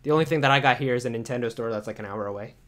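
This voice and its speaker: A man's voice: mid-range voice, racing, fairly bright tone. A man co-hosting a casual podcast, chatty and agreeable. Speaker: podcast co-host. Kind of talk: casual chat.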